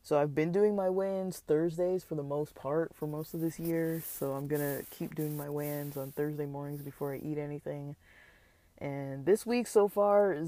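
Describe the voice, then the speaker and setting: Stuffy, rambling vlogger, a bit raspy. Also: medium pitch, dark tone, dry recording. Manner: rambling, hesitant, many fillers; candid and reflective. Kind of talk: casual personal vlog talk.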